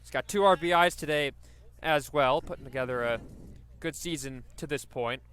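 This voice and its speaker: A man's voice: on the high side, moderate pace, fairly bright tone, clear, resonant tone. A man doing live radio baseball play-by-play, describing the action and working in stats as he goes. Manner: descriptive, stat-laden delivery.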